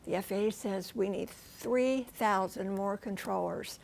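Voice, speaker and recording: A woman's voice: medium pitch, unhurried, vocal fry. A woman working as a TV news correspondent, explaining things conversationally with emphasis and rhetorical questions. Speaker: news transportation correspondent and pilot.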